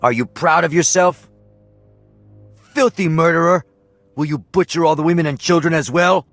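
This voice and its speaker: A man's voice: fairly high pitch, moderate pace, fairly bright tone, no room sound, faint music behind. A man playing a proud, honor-bound alien warrior in a video game, formal, accusing and defiant.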